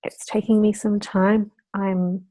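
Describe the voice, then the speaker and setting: Female vlogger, slightly croaky and warm, friendly and upbeat, dry close sound. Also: medium pitch, flat delivery, takes it slowly, no room sound, low-quality recording. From Australia. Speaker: budgeting channel host. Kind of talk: casual talk to camera.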